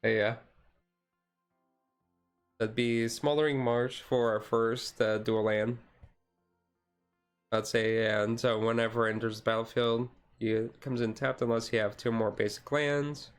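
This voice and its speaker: Male streamer, casual and rambling hobbyist with a slightly tired voice, middle-pitched, over background music. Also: steady tone, measured pace, long pauses, clean tone. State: voice tiring. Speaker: streamer, card game hobbyist. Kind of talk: casual deck walkthrough, chill ASMR stream.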